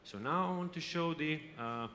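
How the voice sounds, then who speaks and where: A man's voice: on the high side, pushed and bright. Guy presenting at a conference, lots of uhs and restarts, echoey hall, faint background noise. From Lithuania. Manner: halting, with frequent hesitations and restarts. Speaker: company representative presenting. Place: exhibition hall.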